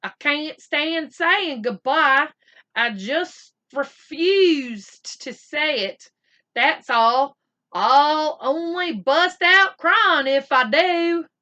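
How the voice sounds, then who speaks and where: A woman's voice: high voice, animated, extremely slow, bright, forceful tone, voice pushed up, exaggerated voice. A woman voicing a sheepish, defensive young boy, hesitant and earnest, in a children's storybook read-aloud. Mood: wistful.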